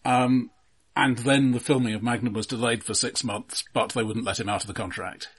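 Husky-voiced man discussing films. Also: mid-range voice, steady tone, moderate pace. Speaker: film discussion podcast host. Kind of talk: casual film discussion.

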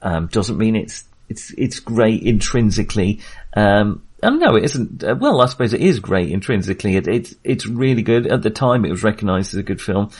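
A man's voice: lowish voice, expressive, normal speed, a little mellow, slightly rough. A man hosting a casual film discussion, talking in a hesitant, rambling and self-deprecating way. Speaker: film podcast host.

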